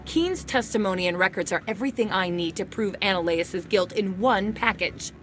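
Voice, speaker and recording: A woman playing an undercover internal affairs agent in a video game, brisk and matter-of-fact with a dryly sardonic edge. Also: medium pitch, a bit bright, faint music behind, close to an everyday voice. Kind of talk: video game dialogue acting.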